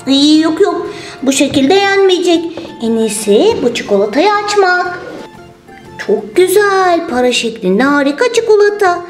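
Squeaky, greedy little cartoon turtle. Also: female voice, very animated, a little slow, heavily acted. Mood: delighted, hungry. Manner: exclamatory, sing-song, munching noises. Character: playful, greedy, chocolate-loving toy turtle character. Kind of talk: children's toy-play story narration. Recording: roomy sound with music underneath.

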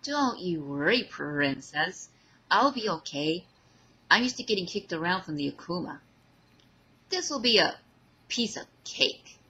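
Woman playing a husky, cocky teenage boy hero. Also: lowish voice, lively intonation, bright, forceful tone, full character voice. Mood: reassuring. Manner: halting, playful, bravado. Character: charming, joking teenage boy superhero in pain. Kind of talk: amateur fan fiction audio drama.

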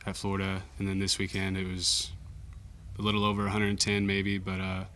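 A young man, a college baseball pitcher, giving modest, understated answers at a post-game press conference. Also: completely flat delivery, brisk, a bit bright, slight background noise. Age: young adult. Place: indoor press conference room. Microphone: table microphone.

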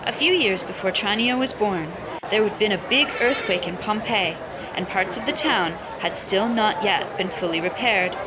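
A woman reading a children's picture book aloud in an expressive, dramatic storytelling style. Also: medium pitch, moderate pace. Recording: loud music and crowd sounds underneath. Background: music and crowd noise.

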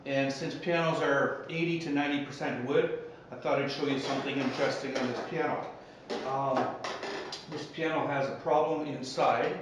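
A man's voice: medium pitch, steady tone, normal speed. A man in his fifties, a piano technician, talking casually and plainly as he explains a repair how-to in a small indoor room, picked up by a camera microphone. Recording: very echoey room, clear background noise.

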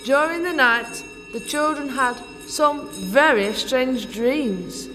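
Slow, high-voiced woman storyteller. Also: bright, forceful tone, soft background music, rough recording. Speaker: narrator. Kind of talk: scripted children's story narration.

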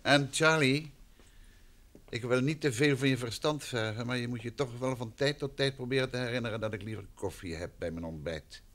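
An actor playing a dry, grumbling, polite gentleman husband in a vintage radio play. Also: mid-range voice, a little fast, natural voice. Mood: wry, grumbling. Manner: polite, wry, sarcastic. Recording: old radio recording, faint noise.

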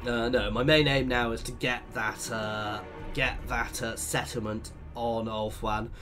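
A man's voice: mid-range voice, flat delivery, a little slow, over music. Congested man narrating gameplay. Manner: chatty, thinking aloud as he plays. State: congested from a cold. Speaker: let's play host. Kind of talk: strategy game commentary.

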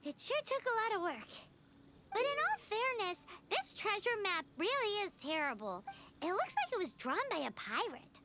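A woman voicing a tiny, excitable, childlike fairy companion girl in an anime video game, speaking in exclamations. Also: extremely high pitch, normal speed, noisy background. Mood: chatty. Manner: speaks of herself in third person, exclamatory. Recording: game music behind the voice.

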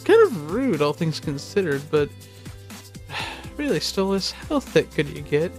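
A video narrator with a medium-pitched voice reads scripted commentary slowly and drops in casual asides. Faint music plays underneath. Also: big pitch swings, darkish tone. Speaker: video narrator presenting episode facts.

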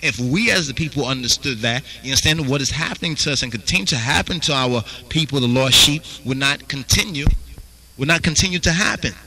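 Guy with a really bright sharp voice teaching scripture, says "you understand" every other line. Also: mid-range voice, animated, brisk, rough recording. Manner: repeats "you understand?" constantly. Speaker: Rastafari Bible teacher. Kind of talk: Bible study teaching.

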